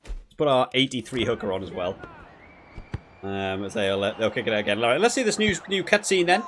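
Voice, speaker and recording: A man's voice: lowish voice, lively intonation, a bit bright. Man thinking aloud over gameplay, background noise. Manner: thinking aloud, critical, halting with repeats. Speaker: gaming video creator reviewing while playing. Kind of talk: casual gameplay commentary.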